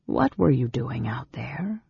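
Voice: medium pitch, big pitch swings, slow pace, creaky, slightly rough, dry recording, lo-fi sound, exaggerated voice. A performer voicing a frail, sickly young male mage in a weak whisper, sneering, formal and commanding, for a fantasy story. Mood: cold, sneering.

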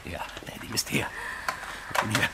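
An actor playing a gruff, desperate middle-aged father in an audio drama, emotional and halting as he guards his sick child. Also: very noisy, natural voice. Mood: anguished, pleading. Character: desperate, grieving gun shop father guarding his infected daughter.

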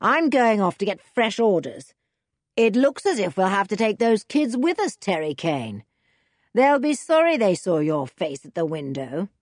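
A scheming scientist barks orders in a brusque, commanding way, as a woman reads him aloud. The voice is husky and swings through a lively range, recorded close and dry. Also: mid-range voice, normal speed, no room sound. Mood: menacing. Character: scheming male villain scientist, curt. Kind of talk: storybook character voice.

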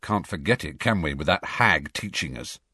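A man's voice: rumbling low, expressive, normal speed, sharp tone, husky, no echo, studio quality, full character voice. A man voicing a grumpy, reluctant teenage schoolboy in an audiobook, speaking hesitantly.

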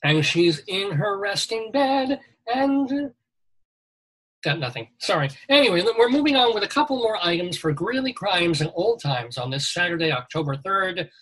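Middle-aged male radio host with a husky voice; wisecracking news reader. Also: sits high, expressive, normal speed, a bit bright, poor audio. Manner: jokey, reads news items with quips. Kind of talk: comedic news chat.

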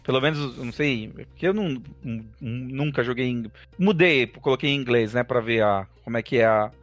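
A man with a Brazilian Portuguese accent talks in a relaxed, chatty way, restarting phrases and repeating words as he thinks. His pitch sits in the ordinary middle for a man, with faint music underneath. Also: moderate pace, dry recording, lo-fi sound. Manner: hesitant, repeats and restarts words, laughs often. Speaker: gaming podcast co-host. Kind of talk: casual chat.

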